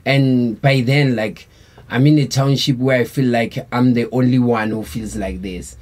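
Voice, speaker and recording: A South African man in a casual interview, spinning his story in a loose, rambling way. His voice is husky and dark, with a mellow, easygoing tone. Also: mid-range voice, steady tone, measured pace. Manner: laid-back, rambling, peppered with slang fillers. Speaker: band member, guest.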